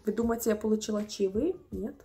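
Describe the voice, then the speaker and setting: A young woman in her twenties telling a story to the camera from a small room at home, animated and indignant. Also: mid-range voice, fast talker, soft background music. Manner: animated, indignant, acts out customers' voices. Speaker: vlogger.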